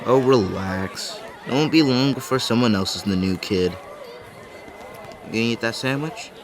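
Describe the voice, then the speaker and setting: A man playing a laid-back, joking, always hungry teenage high-school buddy in an audio drama, slangy and teasing. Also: moderate pace, background noise, natural voice. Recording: busy crowd behind voice.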